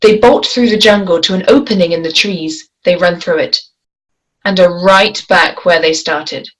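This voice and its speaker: A woman's voice: on the low side, normal speed, fairly bright tone, poor audio. A woman reading stage directions as scripted narration for a screenplay.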